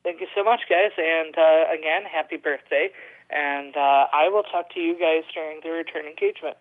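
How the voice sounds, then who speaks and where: Soft-spoken man on a tinny phone line. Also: a little high, normal speed. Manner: hesitant, many fillers, soft-spoken. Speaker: caller, poet. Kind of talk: casual phone chat and poetry reading.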